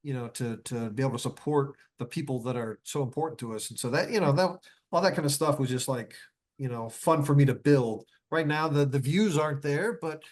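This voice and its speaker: A man chats as a guest author in a relaxed panel setting. His pitch is average, and he speaks haltingly, circling back over words in loose, unfinished phrases. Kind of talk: casual panel conversation.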